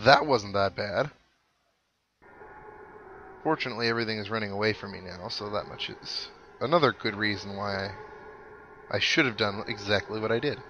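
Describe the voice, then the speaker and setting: A man giving casual commentary over a video game playthrough, thinking aloud with mild exclamations. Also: on the low side. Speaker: gaming video host. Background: video game music.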